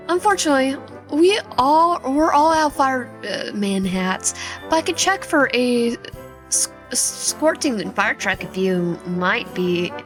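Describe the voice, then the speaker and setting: Woman voicing a polite, halting toy shop clerk. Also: a little high, pitched above the performer's own voice. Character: polite, busy young toy shop clerk serving customers. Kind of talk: visual novel character read aloud. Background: background music.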